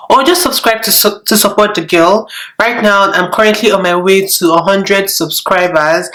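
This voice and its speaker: A woman's voice: medium pitch, fairly bright tone, low-quality recording. A woman of twenty-one with a Nigerian accent, chatting casually to camera as a YouTuber, rambling a little with frequent restarts.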